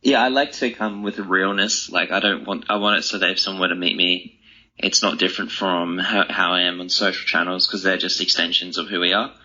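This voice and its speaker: A young man answering interview questions casually and earnestly, often halting and rephrasing himself. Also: deep voice, steady tone, bright, forceful tone, slightly rough, muffled recording. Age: young adult. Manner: earnest, halting, self-correcting. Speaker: interview guest, author.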